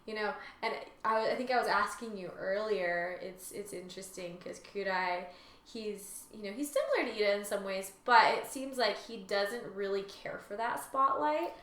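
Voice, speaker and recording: A woman's voice: mid-range voice, animated, normal speed, a bit bright, reverberant. A woman co-hosting a casual podcast, talking informally in tentative, loosely phrased thoughts. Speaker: podcast co-host. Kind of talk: casual chat.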